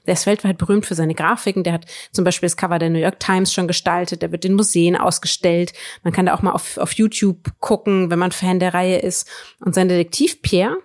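Female book podcast co-host, slightly low register, conversational, dry studio-close sound. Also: a little fast, darkish tone, dry recording.

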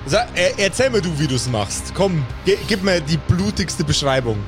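A man's voice: on the high side, lively intonation, moderate pace, music in the background. A man improvising narration as game master of a tabletop game, addressing his players with playful formality. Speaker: game master of a pen-and-paper podcast.

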